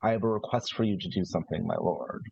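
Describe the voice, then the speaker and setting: Deep-voiced male tabletop player, husky, hesitant and conversational, thinking out loud on a somewhat muffled mic. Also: quick pace, dry recording. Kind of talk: casual out-of-character game discussion. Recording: slightly dull, lo-fi microphone sound.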